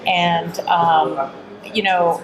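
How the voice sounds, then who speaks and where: A woman's voice: on the low side, flat delivery, drawn-out words, noisy background. A middle-aged woman from Texas giving earnest, reflective answers as an interview guest. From Texas, United States. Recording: busy crowd chatter behind. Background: crowd murmur.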